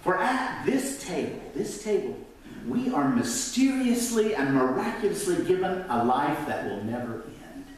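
A man's voice: fairly high pitch, big pitch swings, measured pace. A middle-aged man, a pastor preaching a sermon at the pulpit, swelling up and then dropping to a near whisper. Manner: gestures widely at the pulpit. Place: large church sanctuary. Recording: echoey church from a distance.

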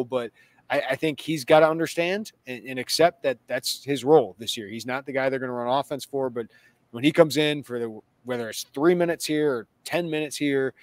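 A man's voice: medium pitch, no room sound. A man in his thirties hosting a sports podcast, reading out viewer questions in a chatty, joking way. He talks from a small room at home over a video call, wearing earbuds. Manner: chatty and joking, laughs between lines. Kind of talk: casual sports talk. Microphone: earbuds with a webcam on a video call.

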